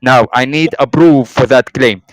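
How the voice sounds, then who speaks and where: A man's voice: mid-range voice, unhurried, no echo. A man moderating a heated online audio room, curt and demanding, repeating himself.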